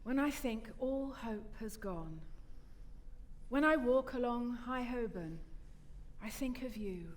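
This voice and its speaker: A woman's voice: a little high, expressive, slow. A woman actor reciting poetry on a theatre stage, recorded live.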